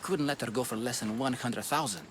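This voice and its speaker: An actor playing a shrewd, friendly shipwright with a Greek accent, haggling and teasing in a video game cutscene. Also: male voice, mid-range voice, fairly quick, slight background noise, close to an everyday voice.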